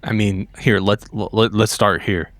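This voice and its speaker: A man's voice: lowish voice, flat delivery, a little slow, fairly bright tone, no room sound. A man playing a tabletop roleplaying game, improvising casually in character.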